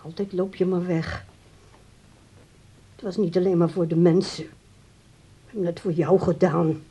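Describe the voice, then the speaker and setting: Radio drama: insistent grown daughter, mellow, husky voice, nagging martyr who coaxes haltingly. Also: female voice, lowish voice, little pitch movement. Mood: reproachful. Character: nagging, self-sacrificing grown daughter managing her old father. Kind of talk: naturalistic radio drama acting.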